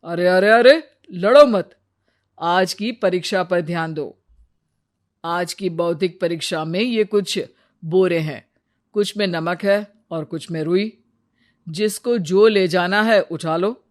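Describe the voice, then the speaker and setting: Woman acting a measured, stern royal minister. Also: fairly low pitch. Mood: commanding. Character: patient, stern royal minister overseeing trials.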